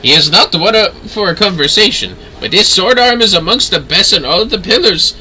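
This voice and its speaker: A man's voice: sits high, expressive, brisk, pushed and bright, slight background noise, full character voice, poor audio. A man voicing a haughty, theatrical nobleman astrologer from a fantasy game, with florid, pompous delivery. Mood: excited, grand.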